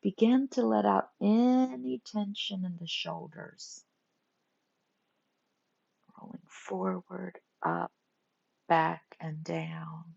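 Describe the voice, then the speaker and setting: A woman teaching guided meditation, giving gentle instructions in short phrases with pauses between them. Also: medium pitch, gravelly. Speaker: yoga nidra meditation teacher. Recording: muffled lo-fi recording.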